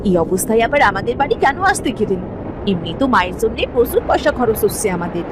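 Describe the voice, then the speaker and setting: A woman's voice: on the high side, lively intonation, quick pace, background noise, close to an everyday voice. A woman voicing a callous, stingy sister-in-law in a cartoon drama, whining and accusatory. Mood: grudging. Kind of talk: Bengali cartoon family drama. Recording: music under the voice.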